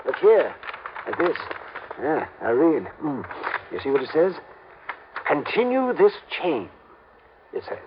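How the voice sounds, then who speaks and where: A man's voice: on the high side, huge pitch swings, slow, long pauses, background noise, heavily acted. An actor playing an erratic, wealthy old man in an old-time radio drama, fretful and stubborn, muttering, exclaiming and repeating himself. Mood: anxious, insistent. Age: older. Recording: muffled vintage radio recording.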